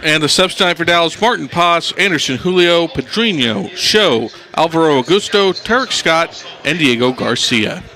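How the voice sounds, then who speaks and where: A man's voice: on the high side, animated, moderate pace, pushed and bright. A man hosting a radio soccer pregame show, reading the lineups and putting questions to his analysts. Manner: reads lineups, then poses questions to the analysts. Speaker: pregame host.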